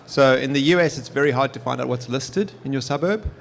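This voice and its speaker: A man's voice: mid-range voice, a little fast, creaky, slight background noise. A man in his forties with a South African accent, a guest explaining things in easygoing conversation at a live podcast on an expo stage. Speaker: guest, technology executive. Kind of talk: conversational interview answers.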